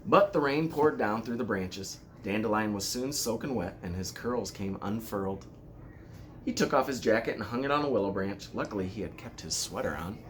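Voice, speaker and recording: A man with a fairly low voice reads a children's story aloud. His delivery is deliberate and expressive, and there is noticeable noise behind him. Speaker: elementary school principal reading aloud.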